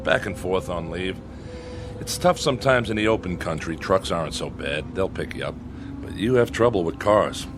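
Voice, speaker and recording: An actor playing a brash, easygoing young sailor in a radio drama, with folksy, blunt, slangy delivery. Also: male voice, deep voice, lively intonation, moderate pace, background noise. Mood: easygoing, friendly. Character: brash, easygoing young sailor hitchhiking back to his ship.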